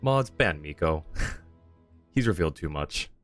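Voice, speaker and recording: Deep voiced guy streaming a game, goofy mock drama, chatting casually, music behind. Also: lively intonation, measured pace. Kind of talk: casual live game commentary, chatting with viewers.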